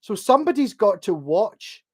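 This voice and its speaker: A man's voice: high-pitched, very animated, normal speed. Glaswegian male streamer, loose rambling chat, casual swearing, answers viewer comments. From Glasgow, Scotland. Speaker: livestream host.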